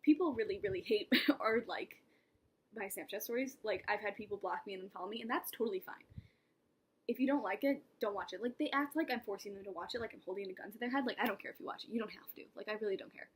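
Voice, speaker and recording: A woman's voice: mid-range voice, fast talker, slight background noise. A young woman talking casually to camera in a vlog, rambling and self-mocking, with laughs and whispered asides. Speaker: vlogger.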